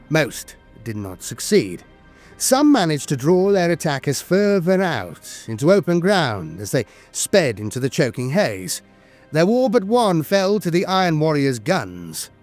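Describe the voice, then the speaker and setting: A man's voice: on the high side, very animated, unhurried, a little mellow, faint music behind. A man delivering dramatic scripted lore narration in a solemn, grandiose manner.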